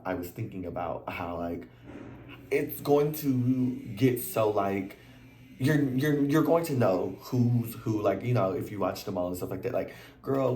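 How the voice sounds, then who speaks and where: Recap host, male, deep voice, a bit worn out, chatty and animated, echoey room. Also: slight background noise. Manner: animated and chatty, slangy, leaps into high exclamations. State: voice a little worn. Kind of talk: casual chat to camera.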